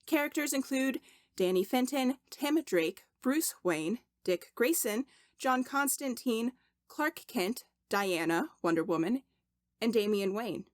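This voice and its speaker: A woman reading a story aloud as expressive audiobook narration, deliberate, with dramatic pauses for emphasis. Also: a little high, no echo, clean studio sound. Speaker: podfic narrator.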